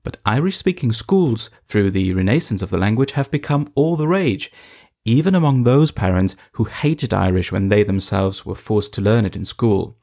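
A man from eastern Germany narrating a reported feature in a measured, scripted way. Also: lowish voice, lively intonation, creaky, dark tone. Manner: measured, storytelling delivery.

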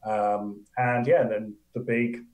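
Guest: English man, low voice, keen and chatty, roomy echo. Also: flat delivery, unhurried, darkish tone. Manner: enthusiastic, chatty, laughs often. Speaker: guest astrophysicist. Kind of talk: casual live Q&A chat.

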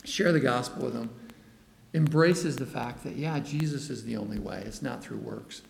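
Gravelly man telling a mission story. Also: medium pitch, normal speed, slight background noise. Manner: animated storytelling, acts out quoted dialogue. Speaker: missionary speaker. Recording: echoey hall, long reverb.

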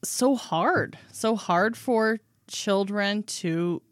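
A woman's voice: mid-range voice, drawn-out words, dry recording, studio quality. A woman co-hosting a podcast, speaking in a thoughtful, rambling way during casual conversation. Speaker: podcast co-host.

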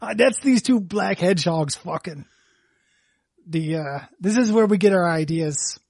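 A man's voice: high voice, expressive, unhurried, vocal fry, a little mellow, no echo, clean studio sound, full character voice. A man improvising a male game company executive who tacks a catchphrase onto every sentence. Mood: deadpan. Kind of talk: improvised comedy sketch.

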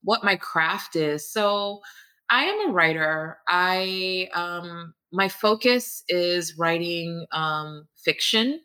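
Guest author: woman, low pitch, crisp bright tone, relaxed rambling answers with ums. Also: slow pace. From Queens, New York, United States. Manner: friendly, laughs easily, many ums and restarts. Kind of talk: conversational interview.

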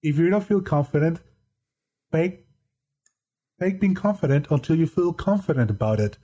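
Man, deadpan, monotone, mellow dark tone, casual podcast chat, halting. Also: fairly high pitch, breathy edge. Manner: halting, restarts often. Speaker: podcast guest.

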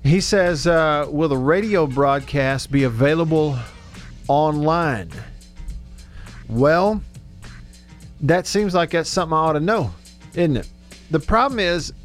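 A man hosting sports radio from a studio in a folksy, rambling, chatty way. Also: fairly high pitch. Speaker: sports radio show host. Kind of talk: casual chat.

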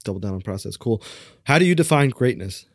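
A man's voice: mid-range voice, lively intonation, no echo. A man with a mid-range voice hosts a casual interview, giving short replies and firing off follow-up questions. His voice sounds dry and close, with no room around it. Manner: quick follow-up questions, brief agreements. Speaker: podcast host.

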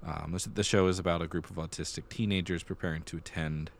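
A man's voice: low voice, steady tone, fast talker, creaky. A man hosting a podcast, talking casually through theater news and thinking aloud as he goes. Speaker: podcast host.

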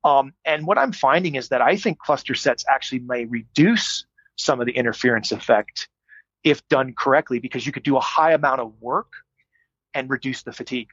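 A man's voice: medium pitch, fairly quick, fairly bright tone, husky, dry recording, highs cut off. A middle-aged man, a strength coach, answering interview questions in a thoughtful, explanatory way with frequent fillers. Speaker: strength coach, podcast guest.

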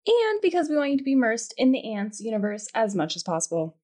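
A woman's voice: fairly high pitch, wildly swooping pitch, quick pace, darkish tone. A woman hosting a podcast, delivering a scripted announcement to listeners. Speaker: podcast co-creator and host.

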